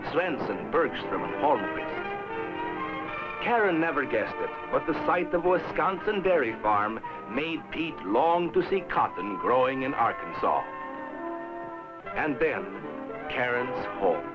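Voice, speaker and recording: A man acts as a towboat man telling a river tale in a scripted, old educational film. His delivery is measured yet very lively in pitch. The soundtrack is dull, with prominent music behind him. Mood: dramatic storytelling. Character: towboat man narrating a river voyage to a boy.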